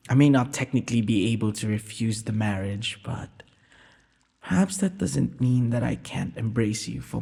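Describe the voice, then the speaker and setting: Gravelly, shy young prince in echoing hall man acting. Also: mid-range voice. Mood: tender, hesitant. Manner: soft-spoken formal courtly phrasing, hesitant. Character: shy, self-doubting, tender young prince in arranged marriage. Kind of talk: romantic audio roleplay acting. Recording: long hall-like reverb.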